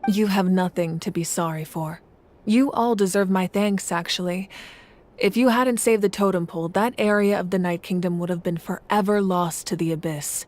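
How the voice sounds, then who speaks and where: A woman playing a calm, wise leader of warriors in a video game, speaking in a measured, formal and reassuring way. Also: lowish voice, close to an everyday voice. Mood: reassuring, solemn. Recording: music behind the voice.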